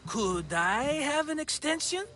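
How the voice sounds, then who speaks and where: A high-pitched, animated wheedling schemer. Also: male voice, a little slow, soft background music, full character voice. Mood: nervous, placating. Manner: nervous, wheedling, expansive. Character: cheerful scheming middle-aged male merchant, wheedling and theatrical. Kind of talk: video game cutscene acting.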